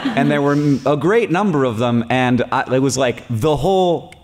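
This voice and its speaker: Animated American man telling stories on stage. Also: medium pitch, moderate pace, noisy background. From United States. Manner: self-deprecating, laughing storyteller. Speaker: podcast host. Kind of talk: live podcast chat before an audience. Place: stage before a live audience.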